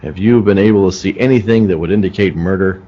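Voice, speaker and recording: An actor playing a serious, baffled police detective in an old-time radio play, speaking in a grave, deliberate way. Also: male voice, low voice, warm tone. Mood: baffled, brooding. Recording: dull, lo-fi call audio.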